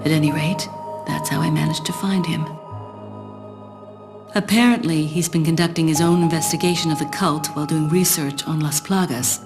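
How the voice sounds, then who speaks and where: A secretive, calculating spy from a video game, with a low-pitched voice. She sounds composed, aloof and knowing, with clear music playing behind her. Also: steady tone, normal speed. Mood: detached, calculating. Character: cool, secretive, calculating female spy, adult. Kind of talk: video game cutscene acting and narration.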